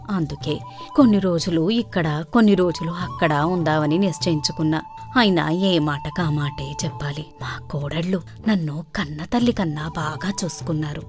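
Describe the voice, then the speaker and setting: A woman voicing a gentle, trusting elderly village mother in a storybook tale, speaking in a soft, measured, motherly way. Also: deep voice, pitched below the performer's own voice, lightly acted.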